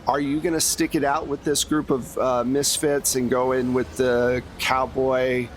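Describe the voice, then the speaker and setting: Man hosting casually, over background noise. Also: medium pitch. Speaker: game master and podcast host. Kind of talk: casual wrap-up chat with players.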